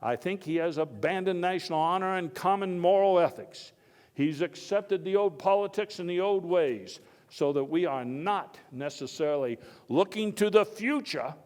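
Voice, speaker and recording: A man playing an elderly, folksy and opinionated early-twentieth-century American statesman and outdoorsman in a live historical speech, halting yet oratorical. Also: a little high, darkish tone. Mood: indignant. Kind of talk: live dramatized first-person historical speech. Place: indoor hall before a live audience. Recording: echoey hall with long reverb.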